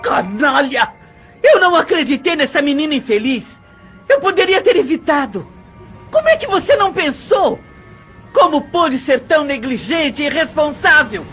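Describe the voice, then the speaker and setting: A woman acts an outraged, anguished Brazilian wife in an audio novel, her voice very high. She accuses with theatrical emphasis over faint music. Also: fairly bright tone. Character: outraged, anguished middle-aged wife confronting her husband. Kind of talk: audio drama acting.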